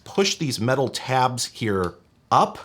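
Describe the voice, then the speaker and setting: A man's voice: medium pitch, big pitch swings, slow, a bit bright. A man presenting as a video host, explaining hardware in a casual, wry and emphatic way with colloquial phrasing. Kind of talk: casual explanatory hands-on product teardown.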